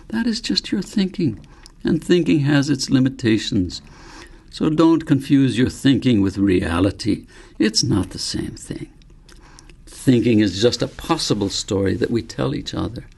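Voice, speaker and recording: Calm wise old grandfather voiced by a male reader, creaky. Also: medium pitch, voice pushed up. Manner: measured, philosophical, explaining patiently.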